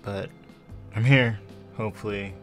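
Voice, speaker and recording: A man voicing a tired, world-weary man of about thirty in a tabletop roleplaying game, with a creaky voice. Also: lowish voice, fairly even intonation, unhurried, lightly acted. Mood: weary, resigned. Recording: faint background music.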